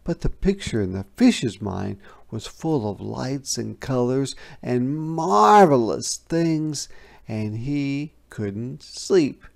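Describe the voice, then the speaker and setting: A storybook reader giving an expressive, deliberate, dramatic read-aloud narration for children. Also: medium pitch, vocal fry.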